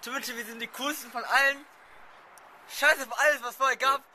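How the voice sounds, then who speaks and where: A man giving a loud, boastful, boisterous shout-out. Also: very high-pitched, steady tone, moderate pace. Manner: loud, bragging. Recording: faint ambient noise.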